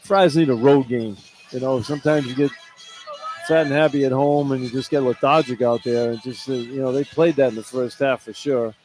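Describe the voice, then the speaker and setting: A man's voice: medium pitch, steady tone, fairly quick, darkish tone, soft background music, low-quality recording. A man doing unscripted halftime radio commentary on basketball in a conversational, blunt and critical manner.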